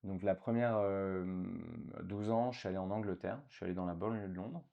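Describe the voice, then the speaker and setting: A man's voice: deep voice, fairly quick, dull audio. A man in his thirties from France, a guest in a casual interview, talking with many fillers and restarts.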